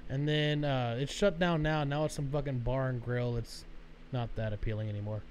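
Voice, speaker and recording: A man's voice: mid-range voice, steady tone, moderate pace, a little mellow, a little hiss or hum. A man hosting a podcast in casual chat, a chatty interviewer who swears freely. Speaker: podcast host.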